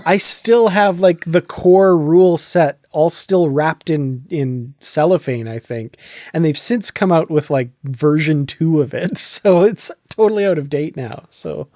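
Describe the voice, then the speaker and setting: A man's voice: a little high, a little slow, dark tone, no room sound, clean studio sound. A man hosting a podcast in a casual, chatty, enthusiastic way. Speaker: podcast host.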